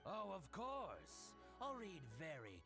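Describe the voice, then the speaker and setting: An actor playing a spiteful, petty merchant in a video game, sneering about a rival. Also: male voice, wildly swooping pitch, slow, sharp tone, faint music behind, heavily acted. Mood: gloating. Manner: sneering, swings from high to low. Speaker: game character.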